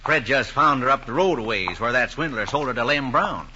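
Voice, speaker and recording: An actor playing a folksy small-town sheriff, stern but kindly, in an old-time radio drama. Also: male voice, medium pitch, lively intonation, a little fast, sharp tone, a little hiss or hum. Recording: vintage lo-fi radio recording.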